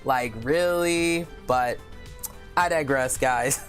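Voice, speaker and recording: A woman with a deep voice, chatting candidly on a live stream and reading viewer comments aloud, with lots of "you know" fillers. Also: slow pace, soft background music.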